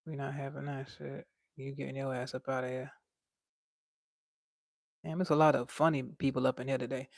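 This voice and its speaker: A man's voice: mid-range voice, level tone, normal speed, gravelly, long pauses, no echo, studio quality. A young man with a light voice, chatting casually on a livestream and reading out chat in a laid-back, slangy way.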